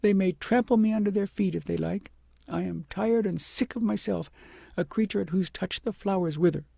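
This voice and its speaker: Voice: mid-range voice, normal speed, gravelly, dark tone, husky, dry recording, studio quality, voice pushed up. A reader voicing a young princess, humbled, earnest and full of feeling, in a storybook. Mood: weary, self-loathing. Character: remorseful young princess, emotional, humbled and adoring.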